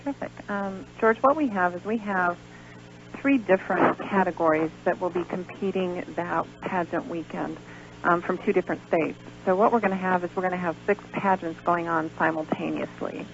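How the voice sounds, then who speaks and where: A woman talks as a phone-in radio guest. Her voice is fairly low with heavy vocal fry, and the line is dull. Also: moderate pace, slight background noise. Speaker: interview guest, pageant director. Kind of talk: unscripted radio phone interview. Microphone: telephone.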